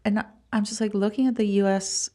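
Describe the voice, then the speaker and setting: A woman from Costa Rica speaking as a podcast guest in a casual, halting, emphatic interview style. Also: medium pitch, little pitch movement, mellow. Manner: informal, halting, emphatic with repeated words. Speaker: podcast guest, venture fund founder.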